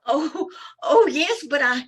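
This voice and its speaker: Woman acting a high, fluttery older lady. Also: lively intonation, takes it slowly, a bit bright, full character voice. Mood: flustered, swooning. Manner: dithering, swooning, breathlessly flustered. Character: fluttery, lovestruck, scatterbrained older landlady. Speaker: amateur radio troupe actor. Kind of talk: old time radio comedy acting.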